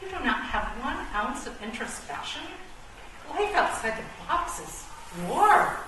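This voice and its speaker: Pompous, brooding chess pawn played by a woman, high swooping voice, comic radio play, noisy recording. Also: big pitch swings, measured pace. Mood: gloomy, grandiose. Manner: pompous, theatrical, precise wording. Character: bookish, brooding chess pawn with a poet's soul. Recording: roomy, distant, noisy stage recording.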